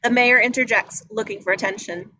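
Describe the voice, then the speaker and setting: A woman narrates screen directions at a table read. Her voice is bright and fairly high. Faint noise sits behind the reading. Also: brisk, lo-fi sound. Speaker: narrator reading action descriptions.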